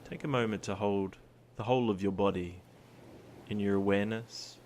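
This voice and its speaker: A man guiding a meditation in a calm, soothing, gentle manner, with long pauses between his instructions. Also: fairly low pitch, slight background noise. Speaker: meditation guide. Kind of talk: guided body scan meditation. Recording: surf sounds behind the voice. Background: ocean surf ambience.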